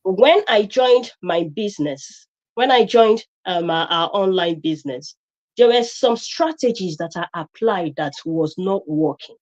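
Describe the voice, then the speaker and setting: A woman's voice: fairly low pitch, animated, measured pace, a little mellow, dull audio. A woman from Nigeria with a Nigerian accent, a guest on a live stream, talking emphatically with many fillers and restarts.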